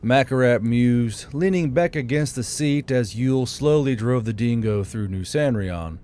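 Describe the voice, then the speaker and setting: A man's voice: medium pitch, a little slow, a little mellow. A man reading scripted fantasy narration as an audiobook narrator. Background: background music.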